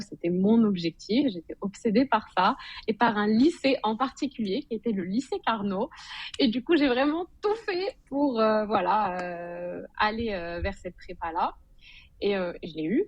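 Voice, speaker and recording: A woman's voice: mid-range voice, no room sound. A woman from Morocco speaking as a podcast guest, telling her story in an informal, conversational way with bits of English slang. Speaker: podcast guest, digital consultant and blogger.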